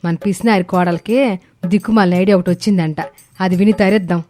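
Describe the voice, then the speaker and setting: A woman's voice: medium pitch, steady tone, fairly quick, mellow, natural voice. A woman voicing an irritable older mother-in-law in a story, grumbling with a scolding, sarcastic manner. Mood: incredulous. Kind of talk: animated moral story dialogue.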